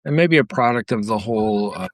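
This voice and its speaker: A man's voice: lowish voice, lively intonation, brisk, no room sound. A man on a podcast panel giving casual commentary on music. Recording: faint music behind the voice. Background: faint music.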